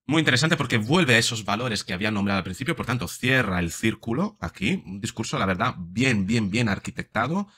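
Commentator, male, 40s, accent of Spain. Lowish, bright voice, explanatory and conversational, close-miked in a home studio. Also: expressive, brisk, few pauses. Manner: explanatory, often adding question tags. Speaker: commentator, psychologist. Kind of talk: casual speech analysis to camera. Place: small home studio room. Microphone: desk microphone up close.

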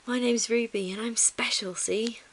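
Woman putting on an excitable, high-pitched little bear. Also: moderate pace, close to an everyday voice. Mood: proud, thrilled. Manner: exclaiming, defiant, growls when cross. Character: bold, excitable, proud young girl teddy bear.

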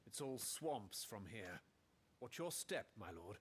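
A man's voice: fairly low pitch, sing-song, slow pace, a little hiss or hum. An actor playing a loyal knight who speaks formally and deferentially to his lord in a fantasy video game. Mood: cautious. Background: game music.

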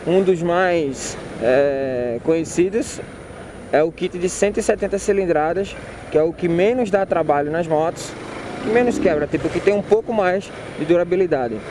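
A man's voice: sits high, moderate pace. A man talking casually and informally while riding a motorcycle in city traffic, repeating himself and using slang. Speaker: motovlogger. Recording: loud road and wind noise. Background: loud traffic and engine noise.

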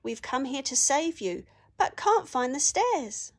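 Woman voicing an earnest, high-pitched storybook boy hero. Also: very animated, takes it slowly, heavily acted. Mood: calling, pleading. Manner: calling out, high and urgent.

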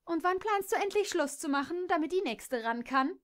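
A woman's voice: extremely high pitch, animated, normal speed, a bit bright, pitched above the performer's own voice. A woman voicing an envious young mare who talks in a resentful, sneering way.

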